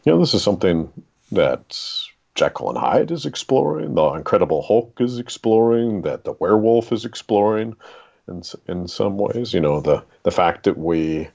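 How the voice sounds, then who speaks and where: A man with a very deep, gravelly voice speaking as a guest author, discussing stories in a deliberate, dramatic storyteller's way. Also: expressive, warm tone, highs cut off.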